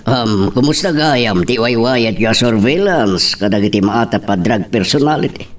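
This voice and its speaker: A man's voice: medium pitch, expressive, slight background noise, close to an everyday voice. A man playing a troubled middle-aged father and police official in a radio drama, hesitant, with an Ilocano Filipino accent. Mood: troubled, guarded. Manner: hesitant, halting.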